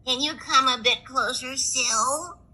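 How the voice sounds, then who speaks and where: A woman's voice: high voice, slow, bright, forceful tone, voice pushed up, full character voice. A woman reading a storybook, voicing a wolf who pretends to be a frail old grandmother, scratchy, hesitant and sugary. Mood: sugary, hungry. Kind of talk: expressive children's storybook reading.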